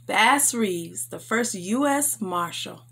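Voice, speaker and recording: A woman hosting a story time, reading aloud for children in an enthusiastic, expressive and emphatic way. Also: mid-range voice, slow pace, vocal fry, a little hiss or hum.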